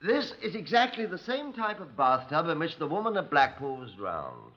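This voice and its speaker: An actor playing a brisk, bossy pathologist who lectures with hesitant uh's, in the style of 1950s radio drama. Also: male voice, on the high side, wildly swooping pitch, pushed and bright, heavily acted. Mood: patient, instructive. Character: brisk, fussy male pathologist demonstrating to police. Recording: muffled 1950s radio recording.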